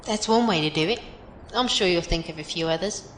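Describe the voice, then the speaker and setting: A woman's voice: a little fast, close to an everyday voice. A woman playing a plainspoken, philosophical survivor in an audio drama, giving encouraging, matter-of-fact advice. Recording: roomy echo, faint background rumble.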